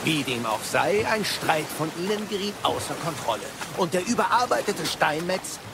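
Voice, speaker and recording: An actor playing a talkative, cheeky old sage and storyteller in an audio play, chatty and wry in delivery. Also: male voice, high-pitched, very animated, moderate pace, gravelly, noisy background, full character voice. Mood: storytelling. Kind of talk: dramatised audio play acting. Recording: loud music under the voice.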